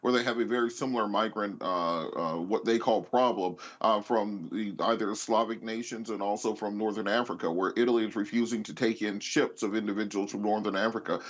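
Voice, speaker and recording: An American man delivers assertive political commentary at a quick clip. His voice sits fairly low, and the audio is dull, as if through a limited link. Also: fairly even intonation. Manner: assertive, opinionated. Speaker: political panelist.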